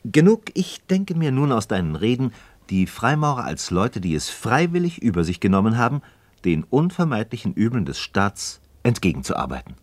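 An actor playing an eager, doubting but persistent friend and pupil in a radio play, questioning intently in literary stage diction. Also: male voice, fairly low pitch, huge pitch swings, normal speed, darkish tone, no echo. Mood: curious, insistent.

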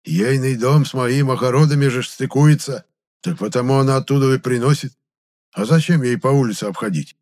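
A man's voice: medium pitch, fairly quick, a little mellow, pitched above the performer's own voice, lightly acted. A man voicing a cranky, forgetful old village grandfather who rambles in folksy rustic speech, in an audiobook story. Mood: chatty, matter-of-fact.

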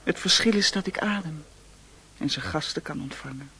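An actress playing a world-weary, seductive mistress of an opium house in a radio play, languid and teasing. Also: female voice, low voice, moderate pace, a bit bright, breathy edge, exaggerated voice. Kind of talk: radio drama acting.

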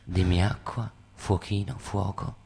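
A man's voice: low voice, fairly even intonation, unhurried, vocal fry, warm tone, breathy edge, heavily acted. A man playing a menacing, predatory secret-police interrogator in a dramatic stage reading, controlled and commanding. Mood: predatory, taunting.

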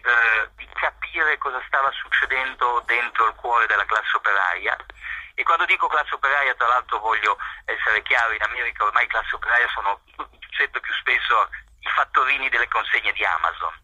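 Bright-voiced Italian man, measured and hesitant. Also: mid-range voice, no room sound, low-quality recording. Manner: measured, hesitant with frequent fillers. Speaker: journalist being interviewed. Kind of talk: thoughtful interview answers.

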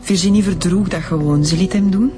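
Casting: actress for local Flemish woman witness, plain-spoken, slightly low register, radio play with loud music behind. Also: brisk, lightly acted. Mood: matter-of-fact. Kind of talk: naturalistic radio drama acting.

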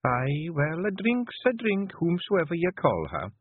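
A man voicing a tiny Scottish wife and clan matriarch, brisk and bossy, in a storybook reading. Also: on the high side, expressive, slightly rough, no room sound, narrow, tinny audio, pitched above the performer's own voice. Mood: coaxing.

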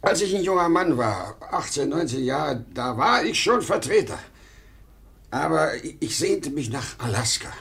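An older man acting a proud, nostalgic, pleading travelling salesman in a 1950s German radio play, emotional and halting. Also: on the high side, sing-song, creaky, a bit bright, heavily acted. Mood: nostalgic, fervent. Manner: emotional, halting when pleading, repeating himself.

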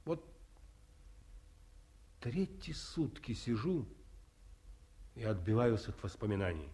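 A grieving war colonel, slow and solemn. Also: male voice, on the low side, big pitch swings, dark tone, breathy edge, heavily acted. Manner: slow, measured, solemn speech. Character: grieving war colonel, weary and dignified, man. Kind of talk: 1950s radio drama acting.

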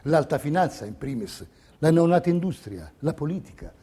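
A man delivers scripted theatrical narration. His voice is soft and mellow, pitched a bit above average. He phrases things like a measured, pointed storyteller. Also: lively intonation, slightly rough. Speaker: stage narrator.

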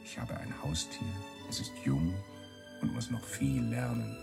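An actor playing a man who recites simple personal statements in short deliberate sentences, with a creaky voice, in a radio spot. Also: a bass voice, sing-song, heavily acted. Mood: subdued. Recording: music under the voice.

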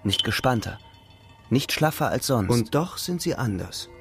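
An actor playing a sombre young soldier who recounts the trenches in a literary radio drama, measured and grave. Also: male voice, mid-range voice, lively intonation, gravelly, faint music behind. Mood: tense. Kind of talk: literary first-person radio drama narration.

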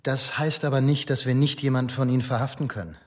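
An actor playing a dutiful but insistent police inspector in a radio drama, formal, firm and matter-of-fact. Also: male voice, mid-range voice, steady tone, fast talker, close to an everyday voice. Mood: insistent, assured.

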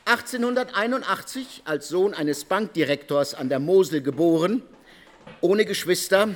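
An actor playing an insistent investigator in a German radio drama, speaking in a pointed, precise manner. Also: male voice, high voice, animated, moderate pace. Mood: matter-of-fact, reciting. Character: probing, insistent male investigator reciting case files.

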